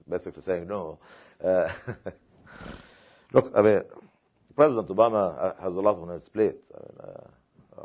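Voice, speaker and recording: Very low-voiced guy from palestine, slow halting panel answers, lots of uhs, kinda muffled recording. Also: darkish tone. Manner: measured, halting, many "uh" fillers and repeated words. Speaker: panel speaker. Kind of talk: formal answers at a panel discussion.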